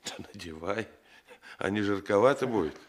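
An actor as a principled older colleague in the police, a man with a lowish voice in a radio play. He is gruff but fatherly, homely and plainspoken. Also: expressive, normal speed, a little hiss or hum, close to an everyday voice.

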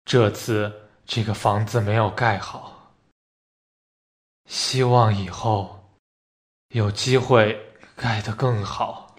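A man playing a solemn, resigned, tender dying patient in an amateur radio play, speaking in a halting, deliberate way. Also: lowish voice, steady tone. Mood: wistful, resigned. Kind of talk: amateur radio play reading of stage drama. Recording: noisy recording with clicks and throbbing.

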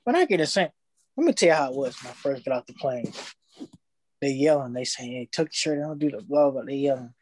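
A young man, telling his story in casual podcast chat, slangy and animated, acting out his past thoughts. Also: mid-range voice, brisk, no room sound, low-quality recording.

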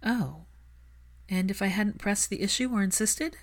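A woman's voice: medium pitch, normal speed, voice pushed up, lightly acted. A woman voicing a stubborn, affectionate young woman in an audiobook story, assertive and teasing.

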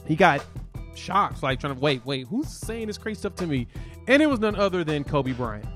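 A man's voice: on the high side, moderate pace, faint music behind. Laid-back man chatting sports. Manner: relaxed, laughs often, storytelling. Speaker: sports podcast co-host. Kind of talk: casual sports chat.

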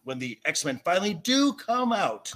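A man in a heated podcast debate, speaking emphatically and indignantly and often stammering over repeated words, with a rough edge to his voice. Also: high-pitched, sing-song, unhurried, fairly bright tone. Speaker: podcast guest. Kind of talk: heated debate about comic adaptations.

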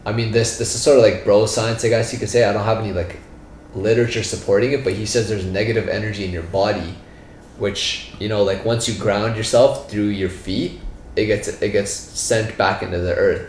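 A man's voice: fairly low pitch, fairly even intonation, a little fast. A man, raised in Canada by a Punjabi-speaking family, talking in a rambling, slangy casual chat. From born in India, raised in Brampton, Canada. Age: 20s. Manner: slangy, rambling, full of "yo" and "bro". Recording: roomy echo, faint background noise.

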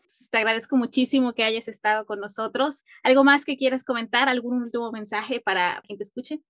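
A woman's voice: fairly high pitch, steady tone, brisk, a bit bright, no room sound. Lady hosting a podcast, friendly, dry close sound. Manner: friendly, encouraging, asks long questions. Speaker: podcast host. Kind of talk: interview hosting.

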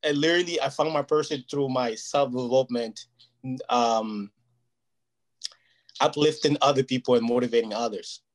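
A man's voice: mid-range voice, normal speed. A man whose first language is Portuguese, talking earnestly and at length as an interview guest, often checking "you know what I mean". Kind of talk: casual interview chat.

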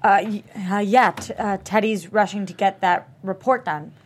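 A woman's voice: medium pitch, moderate pace, a little hiss or hum, natural voice. A woman in a script table read, acting a composed female character in a thriller drama. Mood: evasive.

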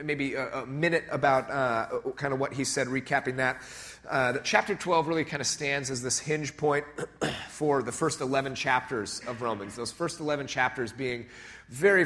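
A man's voice: mid-range voice, fairly bright tone. A man preaching a conversational sermon, nasal and stuffed up from a cold, with many fillers and restarts. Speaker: pastor.

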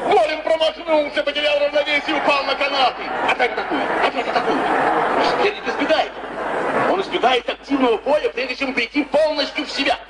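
An actor in a radio drama playing an excited, dramatic boxing commentator who repeats himself for his listeners. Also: very high voice, brisk. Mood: excited, astonished. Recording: noisy echoing arena, distant, roaring crowd.

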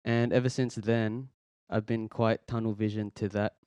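A man, hosting a casual faith podcast in an earnest, halting way with many restarts. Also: fairly low pitch, flat delivery, darkish tone, no echo, muffled recording. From Philippines, raised in Australia. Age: 20s. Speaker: podcast host. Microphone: podcast microphone.

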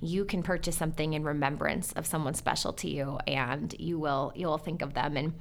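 A woman's voice: deep voice, fairly even intonation, normal speed, gravelly. A woman hosting a casual podcast interview in a chatty, encouraging manner. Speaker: podcast host.

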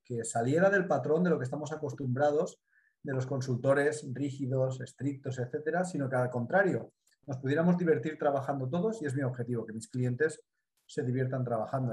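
A middle-aged man from the Mediterranean coast of Spain with a Spanish accent, a business consultant explaining his work in a measured way during a live interview. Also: on the high side, a little mellow, roomy sound.

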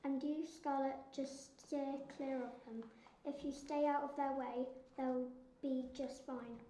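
A female performer playing a calm, caring older orphan girl in a wartime drama, quiet and measured. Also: high voice, reverberant, a little hiss or hum, rough recording. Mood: quiet concern.

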